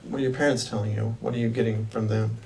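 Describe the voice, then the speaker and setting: A man acting as interviewer in a mock job interview, asking questions in a halting way and often restarting them. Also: on the low side, steady tone, vocal fry, a little mellow. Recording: distant mic with faint background noise.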